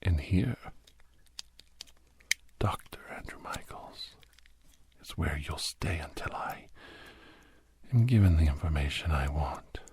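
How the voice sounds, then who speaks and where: Sinister captor doctor for ASMR drama: extremely low, slow, breathy half-whisper, menacingly courteous. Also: male voice, animated, darkish tone, exaggerated voice. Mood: cold gloating. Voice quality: breathy, husky near-whisper. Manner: slow, drawn-out, mock-polite menace. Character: menacing villainous doctor, obsessed captor, coldly gloating.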